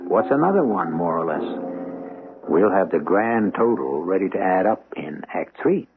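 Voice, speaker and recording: Wry, low-voiced man hosting radio mystery. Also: big pitch swings. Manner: wry, urbane, deliberate phrasing. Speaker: host and narrator of radio mystery drama. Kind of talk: theatrical scripted radio narration. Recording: thin, narrow-band old radio broadcast. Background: music.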